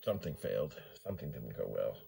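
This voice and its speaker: A man's voice: rumbling low, very animated, fast talker, vocal fry, warm tone, a little hiss or hum. A man talking casually as he demonstrates something for a vlog, rambling along and playfully repeating his phrases. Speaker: vlogger demonstrating vintage computers.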